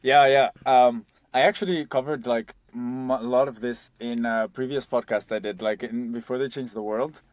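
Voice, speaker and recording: A man's voice: medium pitch, steady tone, moderate pace, hardly any pauses, no echo. A young man from Spain, a startup founder, talking loosely as an interview guest and sprinkling his speech with "like" and "um". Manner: loose and conversational, heavy on "like" and "um". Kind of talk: casual interview.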